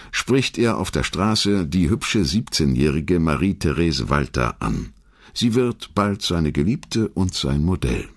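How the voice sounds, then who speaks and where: A man reading scripted documentary narration in a measured, articulate storytelling manner. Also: low voice, breathy edge.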